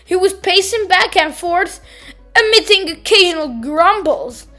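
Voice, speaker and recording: Very high-voiced woman reading to children. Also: soft background music. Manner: expressive, deliberate. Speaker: children's storytime reader. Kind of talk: scripted read-aloud narration for children.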